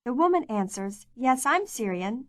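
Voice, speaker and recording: Clear, measured woman narrating a language course. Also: fairly high pitch, sing-song, no room sound, clean studio sound. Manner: clear, measured, prompting the learner. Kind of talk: scripted instructional narration.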